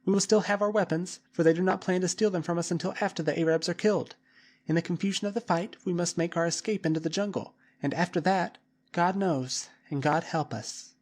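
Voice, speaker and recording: A man's voice: high voice, fairly quick, darkish tone, voice pushed up. A man voicing a decisive young woman who firmly leads a group in danger. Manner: firm, decisive. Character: commanding young woman, cool-headed leader.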